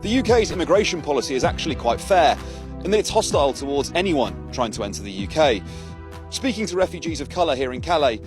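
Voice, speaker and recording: A male reporter reading a scripted news report in a measured, pointed way. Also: a little high.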